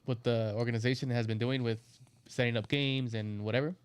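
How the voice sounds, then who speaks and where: A man's voice: mid-range voice, fairly even intonation, a little fast, smooth, no echo. Teasing man in casual sports chat. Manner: teasing, laughing, moves the show along. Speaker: podcast co-host.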